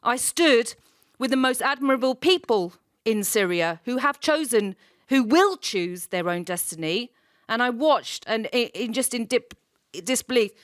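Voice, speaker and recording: A woman's voice: on the high side, lively intonation, a little slow, no echo, studio quality. A woman speaking up from a debate audience, impassioned and emphatic, sometimes tripping over her words. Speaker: audience member.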